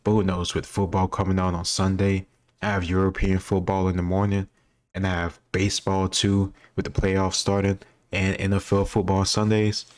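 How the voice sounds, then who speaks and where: A man's voice: deep voice, monotone, normal speed. An American man chatting casually as he gives rambling, opinionated reaction commentary and often corrects himself. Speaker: host reacting to a history quiz video.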